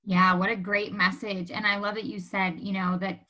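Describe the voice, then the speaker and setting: A woman hosts a podcast in a bright, medium-pitched voice. She is chatty and complimentary, working her way conversationally toward long, roundabout questions. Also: little pitch movement, moderate pace. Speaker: podcast host. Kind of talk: conversational interviewing.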